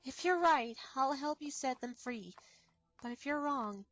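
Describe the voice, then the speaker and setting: Woman playing an earnest high-voiced young cartoon pony. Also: lively intonation, a little slow, a bit bright, recorded from a distance, highs cut off, faint music behind. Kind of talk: animated fan dub voice acting.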